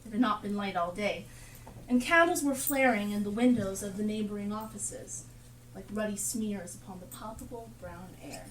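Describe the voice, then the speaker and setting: A woman narrating a Victorian Christmas tale on stage with deliberate, dramatic phrasing. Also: mid-range voice, close to an everyday voice. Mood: dramatic storytelling. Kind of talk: theatrical scripted storytelling. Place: theatre stage, live performance. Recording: echoey distant live stage, noisy.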